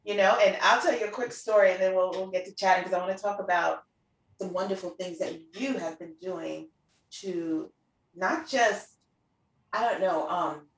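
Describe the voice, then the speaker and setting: A woman's voice: fairly low pitch, steady tone, normal speed. Middle-aged woman, roomy distant sound. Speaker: interview host and preschool teacher. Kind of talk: casual conversational chat. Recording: roomy echo, distant from the mic.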